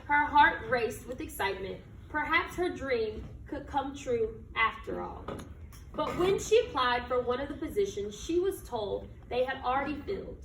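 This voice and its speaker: An American woman reading a picture book aloud to children like a teacher, with expressive, emphatic delivery and questions to her listeners. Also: high voice. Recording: echoey room, distant mic, background noise.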